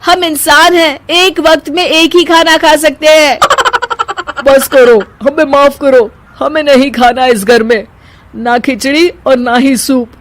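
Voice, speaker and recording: Woman acting an exasperated, high-pitched pleading husband. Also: steady tone, slow, a little hiss or hum. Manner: emphatic, protesting. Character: exasperated, pleading, fed-up husbands of feuding cooks.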